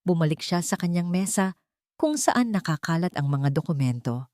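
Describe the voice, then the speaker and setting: A woman's voice: on the low side, expressive, moderate pace, darkish tone, no echo, clean studio sound. A woman narrating a scripted romantic drama in an emotive storytelling manner.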